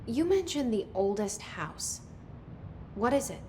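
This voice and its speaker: A woman's voice: on the high side, very animated, unhurried, dark tone, slight background noise. An actress playing a guarded, determined young woman newly in charge in a video game, speaking tersely and directly. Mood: curious. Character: guarded, determined young female newcomer made agency director. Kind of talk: video game cutscene dialogue.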